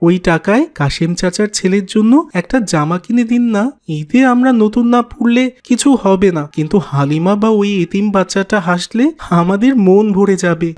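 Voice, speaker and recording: A woman's voice: mid-range voice, moderate pace, few pauses, darkish tone, close to an everyday voice. A woman voicing a generous young boy who speaks earnestly.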